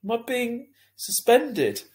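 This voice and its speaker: A man's voice: very high voice, sing-song, takes it slowly, a little mellow, voice pushed up, heavily acted. A man voicing a sullen, tearful schoolboy in trouble for a children's story, terse and reluctant.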